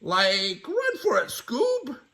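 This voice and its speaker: A man voicing a goofy, jittery, always-hungry young man in a high, squeaky voice that keeps saying "like". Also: wildly swooping pitch, slow, fairly bright tone, full character voice. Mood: panicked.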